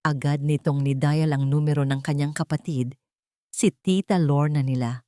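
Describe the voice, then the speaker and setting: A woman's voice: sits low, steady tone, moderate pace, warm tone, dry recording, clean studio sound. A woman narrating a dramatic story in a scripted storytelling style.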